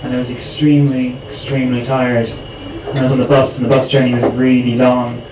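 A man from Ireland reciting his own poem at an open mic in a slow, even recitation. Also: mid-range voice. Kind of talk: spoken word poetry reading. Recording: echoey room, distant mic, clear noise.

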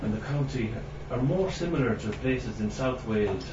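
A man who grew up in Ireland introducing his poems at a reading, halting, with many pauses and fillers. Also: medium pitch, lively intonation. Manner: halting, many ums, clears throat. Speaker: poet giving a reading. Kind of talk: hesitant spoken introduction to a poetry reading. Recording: distant mic, dull sound, roomy with noise.

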